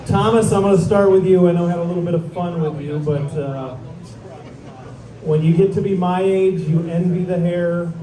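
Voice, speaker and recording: A man's voice: on the high side, warm tone, recorded from a distance. A man in his fifties emceeing a team event, introducing players with teasing, upbeat banter. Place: large indoor event hall. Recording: echoey hall from afar with crowd.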